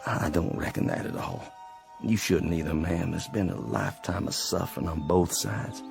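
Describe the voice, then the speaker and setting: A decent, war-worn Confederate sergeant with a Southern drawl. His voice is gravelly and moves widely in pitch, earnest and halting. It is a radio play with faint music underneath. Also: sing-song, darkish tone, exaggerated voice. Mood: weary, grave. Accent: American South. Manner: folksy, hesitant, earnest. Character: war-weary, decent wounded Confederate sergeant, conscience-driven and pleading. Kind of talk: dramatic radio play acting. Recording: studio radio drama over faint music.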